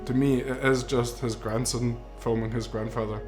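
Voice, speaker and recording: A man's voice: medium pitch, level tone, moderate pace. A young man in his twenties who grew up in Mexico, a filmmaker telling his grandfather's story in an earnest, reflective way. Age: 20s. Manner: earnest, reflective, with some fillers. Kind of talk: documentary narration. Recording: roomy with music underneath.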